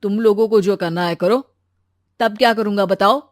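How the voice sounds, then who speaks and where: A woman's voice: medium pitch, fairly even intonation, moderate pace, no room sound, clean studio sound, close to an everyday voice. A woman playing an earnest young would-be groom. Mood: pleading. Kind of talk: Hindi family drama storytelling.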